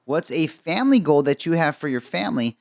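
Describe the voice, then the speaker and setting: A man from Egypt hosting a podcast, upbeat and chatty with quick asides. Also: a little high, sing-song, creaky, no echo, studio quality. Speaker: podcast host. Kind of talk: conversational interview.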